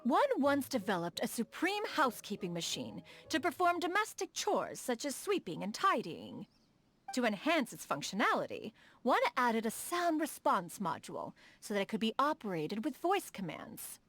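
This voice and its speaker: A proud adeptus noblewoman and inventor for a video game: high, expressive delivery, stately archaic phrasing. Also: moderate pace, heavily acted. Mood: preoccupied, haughty. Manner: formal, archaic, lofty diction, speaks of herself as "one". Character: proud, refined immortal adeptus woman, inventor of mechanical contraptions. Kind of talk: scripted video game character dialogue.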